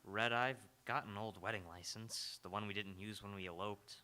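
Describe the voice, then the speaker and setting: A man's voice: on the low side, fairly even intonation, moderate pace, pushed and bright. A young man playing a wry, debonair, teasing ex-husband in live radio theatre. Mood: fond, playful.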